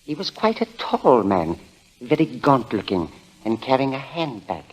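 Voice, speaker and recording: An actor playing an eager, insistent elderly gentleman witness with precise, formal phrasing in a 1940s radio drama. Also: mid-range voice, very animated, unhurried, breathy edge, poor audio. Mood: careful, grave. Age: older. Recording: old radio broadcast recording.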